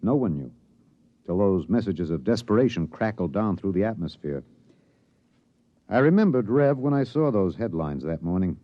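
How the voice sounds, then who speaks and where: An actor playing an earnest, somber news reporter, narrating solemnly in a 1950s radio drama. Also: male voice, low voice, animated, normal speed, no echo. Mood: somber, wistful. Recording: dull 1950s radio recording.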